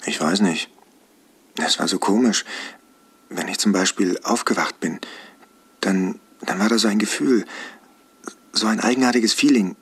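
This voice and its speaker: An actor playing a confused, earnest therapy patient in a satirical radio play, hesitant and groping for words. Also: male voice, on the low side, measured pace, pauses often, slightly rough. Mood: bewildered, confessional.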